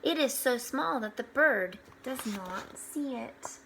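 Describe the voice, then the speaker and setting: A woman reads a children's picture book aloud at an unhurried pace. Her voice sits a little high and she tells it expressively, adding small explanatory asides. There is faint noise behind her. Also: vocal fry, poor audio. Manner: expressive, with hushed asides pointing things out.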